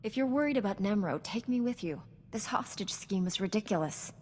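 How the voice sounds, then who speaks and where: An actress playing a composed, gently teasing young Jedi knight in a video game, measured and earnest. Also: female voice, mid-range voice, expressive, in a large hall, natural voice. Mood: concerned. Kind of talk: video game cutscene acting.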